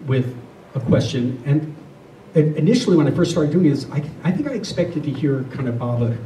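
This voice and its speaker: A middle-aged or older man giving a reflective spiritual talk to a group, speaking earnestly and deliberately, often repeating phrases. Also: mid-range voice, animated, mellow. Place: room with a listening group. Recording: roomy, distant mic, faint noise.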